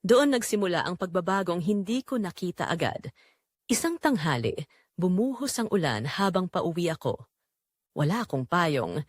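A woman's voice: fairly low pitch, no echo, clean studio sound. A woman playing a young graduate working as a barista, telling her own story reflectively in a scripted audio drama. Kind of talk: first-person scripted audio drama narration.

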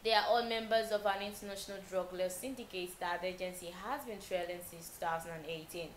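A young Nigerian woman reading a news bulletin as a TV newsreader, steady and measured with small slips. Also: lowish voice, echoey room, slight background noise, poor audio. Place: TV news studio.

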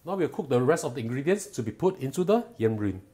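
A middle-aged man hosting a cooking show, explaining each step calmly and patiently in a home kitchen. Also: mid-range voice, fast. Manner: calm, patient and instructive, enthusiastic when tasting.

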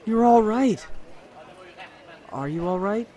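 A man's voice: high-pitched, lively intonation, takes it slowly, dark tone. A man playing a shaken, anxious young man in an audio drama, speaking in an urgent, subdued way.

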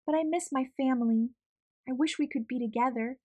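A woman's voice: high-pitched, fairly quick, a little mellow. A woman voicing a brave young female storybook reindeer, sweet and earnest, with a light girlish voice. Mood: wistful.

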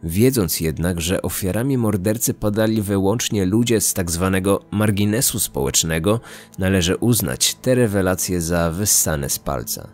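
A man reading scripted true-crime documentary narration in a measured, serious way. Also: deep voice, lively intonation, darkish tone.

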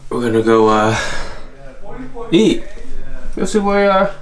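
A man's voice: medium pitch, big pitch swings, takes it slowly. A young man vlogging casually in a house with friends, talking into a handheld camera in a laid-back, worn-out way. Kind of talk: casual vlog narration. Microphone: handheld camera with mounted mic.

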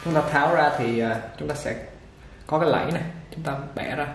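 A man giving a casual unboxing demonstration of a hair clipper, talking relaxed with many fillers while he handles it. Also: on the high side, brisk. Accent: Vietnamese. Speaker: product reviewer for a barber supply shop. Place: indoor shop table with clipper boxes. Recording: echoey room with machine noise. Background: clipper motor buzz and clicks.

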